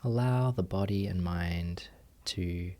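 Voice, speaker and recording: A man guiding a meditation as a teacher, giving gentle instructions with long pauses. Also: deep voice. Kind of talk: guided meditation.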